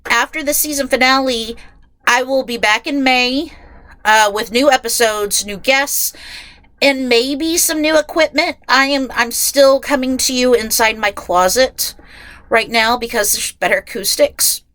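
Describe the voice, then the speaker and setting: A woman's voice: high voice, unhurried, a bit bright, no room sound. A woman hosting a casual, chatty solo podcast, sounding nasal and congested from a head cold, rambling along in a self-deprecating way, recorded inside a closet. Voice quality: nasal, stuffed-up. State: getting over a head cold. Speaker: podcast host.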